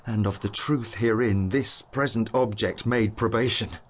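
A man's voice: fairly low pitch, measured pace, slight background noise, close to an everyday voice. An actor playing a learned, earnest young nobleman on night watch, declaiming verse in a dramatised Shakespearean audiobook. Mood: hushed wonder. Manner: eloquent, declamatory verse delivery.

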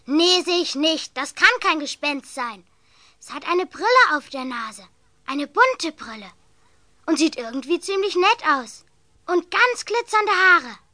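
Young girl, high-pitched, childlike, contrary, curious, children's audio drama. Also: lively intonation, a little slow, bright, forceful tone, no echo, heavily acted. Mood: excited, amazed. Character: young girl sick in bed, curious and stubborn. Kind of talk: children's audio play acting.

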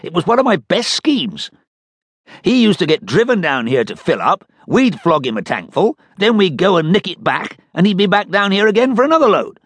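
A man's voice: high-pitched, big pitch swings, moderate pace, fairly bright tone, husky, no room sound, voice pushed up, full character voice. A man voicing a pompous, conniving con man who talks like a plummy army officer. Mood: blustering.

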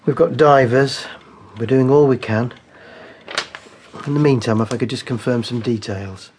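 An actor playing a courteous British detective sergeant, formal and measured in a naturalistic radio drama. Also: male voice, mid-range voice, a little mellow, slight background noise, natural voice. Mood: calm, official.

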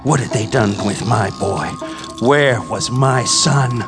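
An actor playing a grief-maddened scientist father in an audio drama, intense and theatrical, with halting repetitions. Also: mid-range voice. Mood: anguished. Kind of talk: live audio drama acting with monologue narration. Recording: live stage recording with music underneath.

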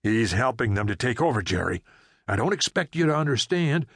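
A man doing a gruff ex-prisoner barking orders. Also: medium pitch, sing-song, a little fast, no room sound, studio quality. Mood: fervent. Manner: barking terse commands. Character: agitated man, a Vietnam ex-POW, urgent.

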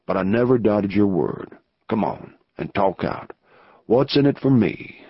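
A man voicing a gruff, scheming male outlaw leader in a slow frontier drawl for an audiobook. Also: fairly low pitch, expressive, no room sound, pitched below the performer's own voice, heavily acted. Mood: wary drawl. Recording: dull lo-fi audio.

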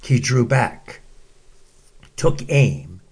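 Slow, husky man reading a bedtime story. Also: medium pitch. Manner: slow, expressive storybook reading with acted-out quotes. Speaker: narrator.

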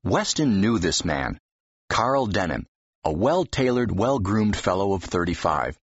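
Deep, husky male audiobook narrator. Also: big pitch swings, measured pace, no echo. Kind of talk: expressive scripted fiction narration. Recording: dull, slightly muffled audio.

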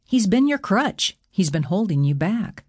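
A woman's voice: medium pitch, big pitch swings, measured pace, pitched above the performer's own voice. A woman voicing an affectionate, teasing young male god in an audiobook, with a laid-back, reassuring manner. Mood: coaxing.

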